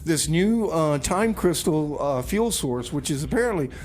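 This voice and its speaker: A man hosting a community radio show, chatting casually and haltingly in a rambling, informal way with comedic banter. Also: on the high side, animated, darkish tone, a little hiss or hum. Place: radio studio.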